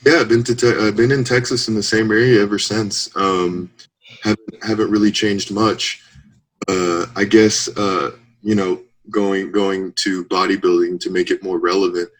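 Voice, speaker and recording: A man in his late twenties talks openly as an interview guest, thinking out loud and laughing lightly between points. His voice sits a little low. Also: flat delivery, normal speed, poor audio. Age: 20s. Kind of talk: casual interview chat.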